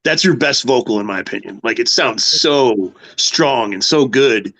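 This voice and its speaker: Man interviewing with eager, halting praise. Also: medium pitch, lively intonation, fairly bright tone, low-quality recording. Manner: enthusiastic fan, hesitant restarts. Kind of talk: casual interview chat.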